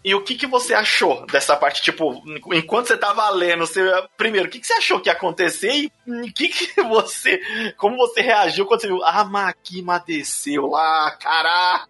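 A man's voice: high-pitched, big pitch swings, brisk, sharp tone, husky. A Brazilian man chatting casually as he leads a podcast discussion. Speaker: podcast host leading discussion. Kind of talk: casual chat about manga. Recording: faint music behind the voice. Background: music.

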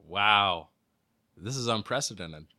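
A man's voice: lowish voice, lively intonation, slow pace, sharp tone. A man acting as a podcast producer, casually reading out quiz questions and chatting with dry, wry remarks.

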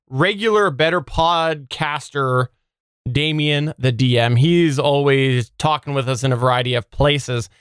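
A man's voice: fairly high pitch, a bit bright, clear, resonant tone, no room sound, clean studio sound. A man hosting a podcast in a casual, conversational style, moving through segments and listener feedback. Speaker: podcast host.

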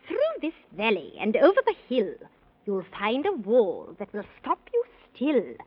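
A woman's voice: medium pitch, wildly swooping pitch, slightly rough, full character voice. A woman playing a pompous, generous elf king who speaks in grand rhymes in a 1930s children's radio serial. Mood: helpful, solemn. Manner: grand, rhyming, deliberate. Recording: muffled 1930s radio recording.

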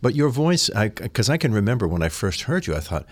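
A man's voice: fairly low pitch, sing-song, fairly quick, no room sound. A middle-aged man hosting an arts interview, asking long, articulate and admiring questions. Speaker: interviewer, host.

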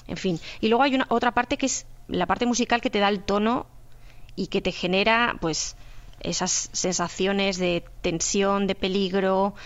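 A woman with a Spain accent explaining her work as a radio drama sound producer in a conversational interview, often posing questions as she explains. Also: medium pitch. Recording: dull lo-fi sound.

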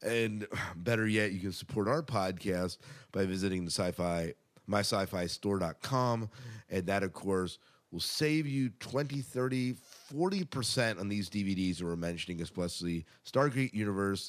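A man's voice: low voice, lively intonation, measured pace. A man hosting a podcast, chatting casually and making announcements in an enthusiastic manner. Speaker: podcast host.

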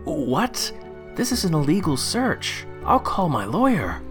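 A man acts out a time-travelling rogue pilot in an audio drama. He is casual and defensive, his pitch moving about animatedly as he protests, with music playing behind him. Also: huge pitch swings, a little slow. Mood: indignant. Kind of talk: full-cast audio drama acting.

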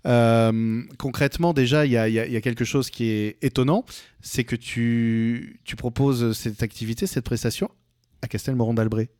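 Man, radio interviewer, medium pitch, casual, halting rephrasings, dry studio sound. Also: fairly even intonation, a little mellow, clean tone, no room sound. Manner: hesitant, repeats and rephrases as he builds questions.

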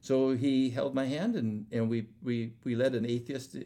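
A man with a medium-pitched voice talks as a guest storyteller. He shares personal testimony in a casual, conversational way, like an evangelist chatting with a host. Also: steady tone, normal speed, dark tone.

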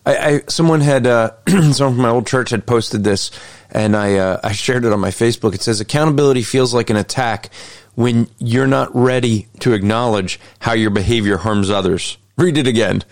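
A man's voice: medium pitch, moderate pace, no room sound, clean studio sound. A man hosting an interview podcast, talking in an earnest, explanatory way. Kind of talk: conversational interview.

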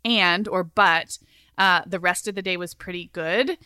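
A woman's voice: fairly low pitch, normal speed, bright, forceful tone, smooth, no room sound, clean studio sound. A woman co-hosting a podcast, speaking in a thoughtful, reflective way about parenting. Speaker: podcast co-host. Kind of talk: casual chat.

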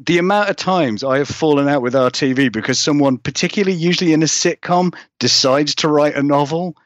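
A man's voice: on the high side, fairly quick, no room sound. Dull-sounding man, rambling and self-deprecating. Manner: rambling, hedging with frequent "sort of", self-deprecating. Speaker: guest, horror fiction author. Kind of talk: casual interview chat. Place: remote call from another country.

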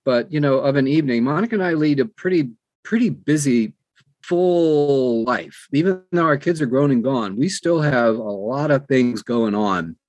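A man's voice: medium pitch, expressive, moderate pace, a little mellow, dry recording. Older American man, close dry sound. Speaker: guest, audiobook narrator and publisher. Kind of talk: casual conversational interview.

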